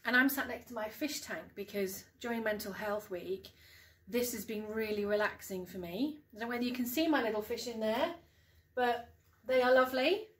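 A middle-aged woman reads a picture book to young children, expressively and deliberately, stressing key words, in a room at home. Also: a little high, slight background noise. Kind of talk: storybook read-aloud for young children. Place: small living room at home.

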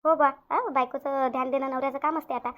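A woman's voice: sits high, fairly even intonation, fast, a bit bright. A woman voicing an anxious, doting village mother in a cartoon story, pleading and repeating herself in a Vidarbha Marathi accent. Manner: pleading, repetitive. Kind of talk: amateur cartoon story dialogue.

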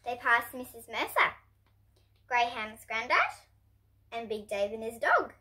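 Casting: preteen girl, high animated voice, expressive storybook reader for little kids. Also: unhurried, rough recording. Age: child, about ten to twelve. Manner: expressive, presentational reading to young listeners. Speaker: children's picture book reader, host. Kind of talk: storybook read-aloud for children. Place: indoors at home, in front of bookshelves.

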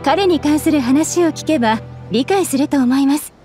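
An actress playing a calm, polite, composed young townswoman in a video game. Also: high voice, normal speed, faint music behind, natural voice.

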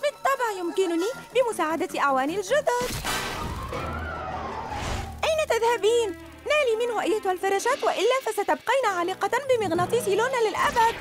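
A woman's voice: very high voice, expressive, fast talker, loud music behind the voice. A woman voicing a boastful, taunting young villain girl in a dubbed cartoon. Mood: commanding. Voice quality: taunting, cackling.

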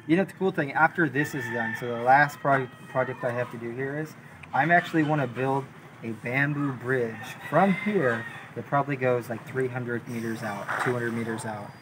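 A man's voice: mid-range voice, normal speed. A man in his thirties chatting casually and informally, in a laid-back way. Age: 30s. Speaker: homeowner showing his building project. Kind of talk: casual chat. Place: outdoors, unfinished block building by the sea. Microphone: camera a couple of metres away. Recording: noisy outdoor recording, ambient background noise.

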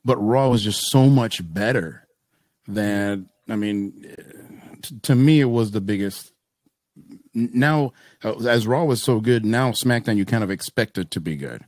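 A man's voice: fairly low pitch, measured pace, a little mellow, no room sound. An American man in his thirties co-hosting a podcast in a casual, chatty style. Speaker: podcast co-host. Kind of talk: casual wrestling review chat. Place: home room with desk setup, on a video call. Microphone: microphone on boom arm, close.

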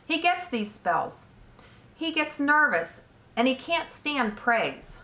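A woman voicing a flustered, excitable male farmer from a storybook, hollering in exclamations. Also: high-pitched, slow pace, voice pushed up, full character voice. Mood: anxious, insistent. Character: excitable, flustered farmer man, proud of his pig.

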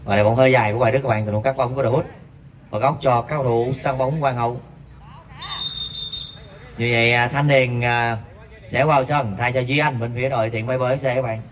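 A man's voice: fairly even intonation, fairly quick, long pauses, slight background noise. Distant male amateur football commentator. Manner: reads viewer messages between plays, jumps sharply higher on shots. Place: outdoor floodlit five-a-side pitch at night.

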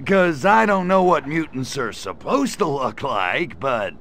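Brash male alien invader, gravelly voice, slow drawl, big swooping pitch, wisecracking and flirty, game cutscene. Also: very animated, a bit bright, slight background noise, exaggerated voice. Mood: incredulous. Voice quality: gravelly, slangy wisecracking delivery. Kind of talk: video game cutscene acting.

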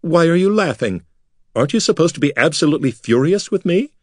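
A man voicing a fussy, excitable young nobleman who speaks in a flamboyant, theatrical way. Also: a little high, a little fast, no room sound, clean studio sound, pitched above the performer's own voice. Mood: bewildered. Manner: flamboyant, theatrical, easily flustered.

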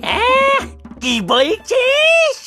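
A woman's voice: extremely high pitch, huge pitch swings, drawn-out words, music in the background, heavily acted. A woman voicing a cranky, mischievous, hard-of-hearing old grandparent in a comic Bengali cartoon, scolding and exclaiming in a muddled way. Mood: scolding, irritated.

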